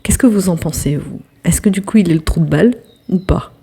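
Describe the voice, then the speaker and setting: Low, mellow-voiced woman reading a story. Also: brisk, creaky, husky. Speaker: storytelling channel host. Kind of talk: reading an online post aloud, then chatting with viewers.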